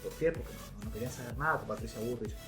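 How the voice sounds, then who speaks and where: A man's voice: medium pitch, fast, bright, forceful tone. A man with an Argentine accent giving colloquial, opinionated political commentary as co-host of a podcast. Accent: Argentine Spanish. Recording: music playing behind. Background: background music.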